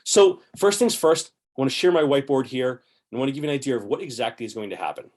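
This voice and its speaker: A man in his forties talks straight into a desk webcam from a room at home, upbeat and chatty as he explains things to his viewers. His voice sits in an ordinary middle pitch and carries a slight hoarseness. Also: animated, quick pace, a little mellow. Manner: upbeat, chatty, gesturing with both hands. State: slightly hoarse, clearing throat. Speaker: investing channel host. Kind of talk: casual explainer to camera.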